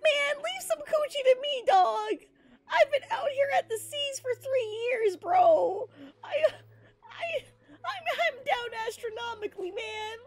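A woman's voice: extremely high pitch, expressive, slow, pitched above the performer's own voice, heavily acted. A woman playing a lovelorn, desperate male sailor in a game roleplay, whining and pleading in disbelief. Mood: tearful, desperate. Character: whiny, desperate, lovelorn sailor man named Henry.

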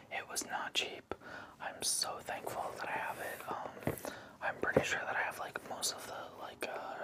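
A man in his thirties whispering casual, enthusiastic fan chat for ASMR, close to the mic in a room at home. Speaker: ASMR video creator showing a collection. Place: small living room indoors.

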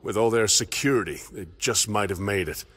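Man voicing a low, gruff space marine. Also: brisk, fairly bright tone, close to an everyday voice. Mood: guarded, determined. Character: gruff, sardonic male marine in combat suit. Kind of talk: video game voice acting.

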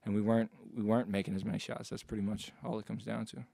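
A young college basketball player fields postgame questions at a press table, talking quickly in a low, flat, laid-back way. His voice sits a bit low and sounds dry and close on the table mic. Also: creaky, dry recording. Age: young adult. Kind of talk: postgame press conference answers. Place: press conference room. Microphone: table mic on stand.

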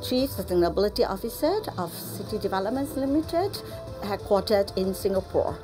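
A woman executive talks earnestly and in a businesslike way in an interview. Her voice sits at an ordinary middle pitch. A clear music bed plays under her words. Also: animated, moderate pace, mellow. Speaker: chief sustainability officer.

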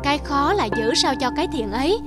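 A woman voicing a gentle, kindly young novice monk boy in a cartoon folktale. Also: high-pitched, animated, brisk, loud music behind the voice. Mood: earnest.

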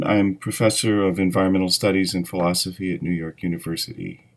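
Male professor, 60s, American accent, low register, measured reminiscing delivery with emphatic openings. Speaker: philosophy professor. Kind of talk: reminiscence to camera. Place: dim indoor hall with empty seats.

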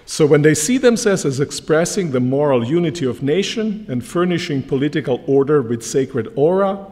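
A middle-aged man giving an academic lecture from a lectern, measured and emphatic. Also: on the high side, darkish tone. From Croatia. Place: large lecture hall, standing at a lectern. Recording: long hall reverb from lectern mic.

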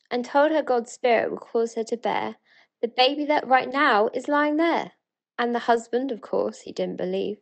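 A woman plays an eager townswoman of ancient Bethlehem in a dramatic verse piece. Her British voice sits a little high and speaks with earnest, excited wonder. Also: natural voice. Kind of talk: dramatic spoken-word verse reading.

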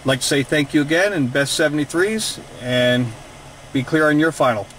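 A man presents a hands-on instructional demonstration as a video host. His voice sits a little high. He is recorded inside a vehicle, with faint fan and road-vehicle noise behind him. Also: moderate pace, fairly bright tone, rough recording. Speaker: radio hobbyist video host.

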